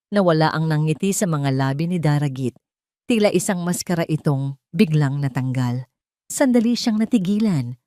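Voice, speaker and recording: Female storyteller, Filipino accent, lower register, scripted dramatic romance narration, close dry recording. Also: warm tone, no room sound.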